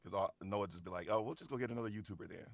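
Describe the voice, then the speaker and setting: A man's voice: fairly low pitch, rapid-fire, no echo. A man chatting casually as a voice actor on a panel, jokingly acting out imagined dialogue. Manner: jokey, acts out imagined dialogue. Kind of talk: casual after-show chat.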